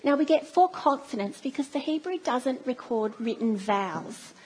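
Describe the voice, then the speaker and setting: A woman's voice: sits high, lively intonation, normal speed, a little hiss or hum. A middle-aged Australian woman giving a sermon in a church, telling stories with playful asides. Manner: warm storytelling, playful asides. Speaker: preacher.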